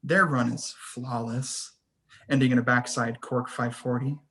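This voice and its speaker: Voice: mid-range voice, little pitch movement, measured pace, fairly bright tone. A person reading scene headings and stage directions as scripted narration for a screenplay table read.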